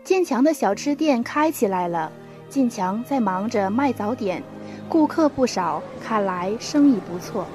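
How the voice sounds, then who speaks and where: High-voiced young female drama narrator. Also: animated, a little slow, a little mellow. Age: young adult. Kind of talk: scripted storytelling narration. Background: music.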